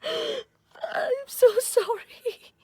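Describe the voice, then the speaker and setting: High-voiced, earnest British wife. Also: female voice, lively intonation, very slow, very noisy, heavily acted. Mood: earnest, remorseful. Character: earnest, passionate doctor's wife with strong convictions. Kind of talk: radio drama acting.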